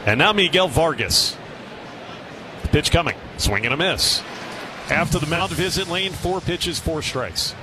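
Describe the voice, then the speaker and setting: A man's voice: on the high side, a little slow. A man with an American accent calling a live baseball game on the radio as play-by-play announcer. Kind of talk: live radio sports commentary. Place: stadium broadcast booth. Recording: noisy ballpark ambience behind. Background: steady ballpark noise.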